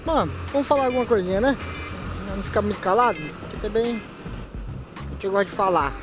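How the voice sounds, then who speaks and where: A man talks in a relaxed, slangy way while he rides a motorcycle outdoors. The sound comes from a helmet-mounted camera mic. Music plays clearly underneath his voice. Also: high voice, quick pace. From Manaus, Brazil. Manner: chatty, laid-back slang, frequent laughs. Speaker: motovlogger. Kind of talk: casual motorcycle vlog commentary. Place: riding a motorcycle on city streets outdoors.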